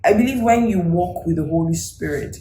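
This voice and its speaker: A woman from Nigeria, co-hosting a casual couples chat, talking in an animated, emphatic way. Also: fairly low pitch, fairly quick, roomy sound, slight background noise. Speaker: co-host.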